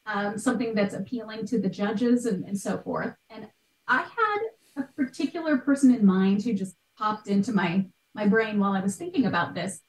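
A woman's voice: medium pitch, expressive, normal speed. A woman in her thirties thinking aloud as an expert guest in a conversational interview, on a video call from a room at home. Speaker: guest expert, art history podcaster. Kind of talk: conversational question-and-answer interview. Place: small bright room at home. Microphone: video call, computer microphone. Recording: echoey room, distant from mic.

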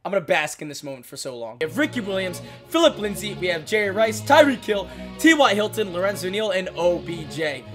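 A young man in his twenties, hoarse from losing his voice, giving excitable casual commentary while opening packs in a video game. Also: sits high, fairly quick. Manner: excitable, hyped outbursts. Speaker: gaming YouTuber. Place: small room at a desk. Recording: music playing behind the voice.

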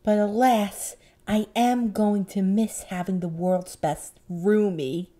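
A woman voicing an affectionate, encouraging older girl in an animated film. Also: medium pitch, slow, no echo, close to an everyday voice. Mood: tender. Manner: tender, encouraging.

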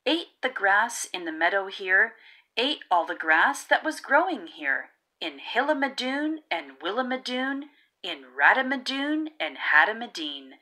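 A woman reading a children's picture book aloud in a rhythmic, theatrical way with drawn-out emphasis. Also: medium pitch, sharp tone. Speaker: read-aloud narrator of children's picture books. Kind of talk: expressive storybook reading.

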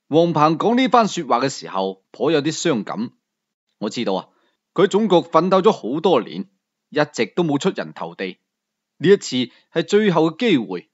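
A man's voice: medium pitch, muffled recording. A man with a Cantonese accent narrating a scripted first-person novel as an audiobook storyteller.

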